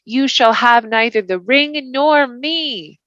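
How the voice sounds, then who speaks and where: Woman as thin-voiced, high, defiant hobbit. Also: takes it slowly, fairly bright tone, no room sound, muffled recording. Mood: defiant, failing. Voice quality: thin, strained cry. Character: small, weary, defiant male hobbit hero.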